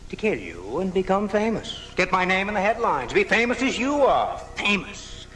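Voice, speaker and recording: An actor playing a bitter, envious failed writer with murderous intent in a live radio drama, intense and menacing. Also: male voice, high voice, very animated, normal speed, sharp tone, exaggerated voice. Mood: bitter, obsessed. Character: envious, hateful failed male writer bent on killing. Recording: off-air 1980s radio recording.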